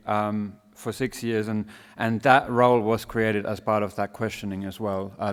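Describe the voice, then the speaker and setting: A low-voiced man with a Finnish accent blended with Australian vowels, speaking at an event in a relaxed, self-deprecating way. His voice is smooth and resonant, and it carries a long reverb from the hall. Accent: Finnish with Australian mix. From Finland. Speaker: fashion design academic, panel speaker. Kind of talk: panel presentation.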